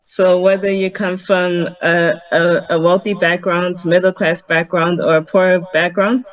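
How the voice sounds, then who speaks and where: A woman's voice: lowish voice, level tone, measured pace, muffled recording. A woman from Zimbabwe, a vegan chef, answering interview questions earnestly over a video call, with frequent ums. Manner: earnest, explains step by step with many ums. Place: home in Harare.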